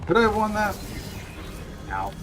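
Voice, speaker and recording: Slightly raspy male game streamer. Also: high-pitched, measured pace, over music. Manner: relaxed, thinking aloud to himself. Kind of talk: live gameplay commentary.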